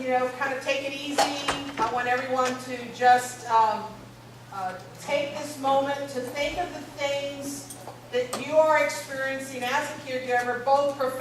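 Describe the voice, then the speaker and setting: A middle-aged woman gives a relaxed, rambling talk in a strong, fairly high voice. She sounds distant, caught by a mic far across a very echoey college hall. A faint hiss of noise sits under her. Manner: strong voice, loose and chatty with many fillers. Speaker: gerontology lecturer. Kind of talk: informal symposium presentation.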